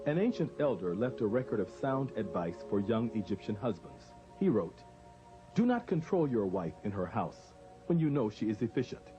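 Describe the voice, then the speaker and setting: A man reading scripted documentary narration in a measured, formal, expressive way. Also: on the low side. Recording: dull lo-fi audio with music.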